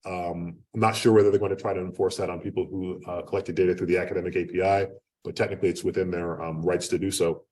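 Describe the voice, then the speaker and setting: A man giving an unscripted academic lecture in a loud, projecting voice, talking conversationally with lots of fillers and restarts. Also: deep voice, level tone, fast. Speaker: guest lecturer. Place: lecture room. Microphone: speaking away from the microphone. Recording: distant room mic.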